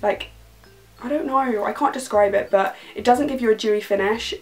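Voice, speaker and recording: Young British woman beauty vlogger, slightly croaky, effusive and chatty, casual bedroom review to camera. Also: a little high, normal speed, faint music behind, rough recording. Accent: British English. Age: young adult. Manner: gushing, enthusiastic, repeats words for emphasis. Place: bedroom, indoors.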